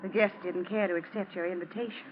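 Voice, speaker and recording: An actress playing a cold, taunting wife bent on revenge, in an ominous, theatrical 1940s radio drama style. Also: female voice, fairly low pitch, fairly even intonation, fast, natural voice. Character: vengeful wife, cold, taunting, avenging her father. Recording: muffled, noisy old radio recording.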